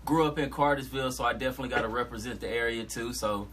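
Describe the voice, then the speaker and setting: A man's voice: medium pitch, racing, noisy background. A young man from Georgia, a rapper talking casually and laid-back in a podcast interview. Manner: laid-back, talks in slang. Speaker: guest rapper.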